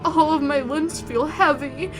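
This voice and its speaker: A woman narrating a horror visual novel in the first person, reading with expressive, suspenseful delivery. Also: high voice, unhurried, over music.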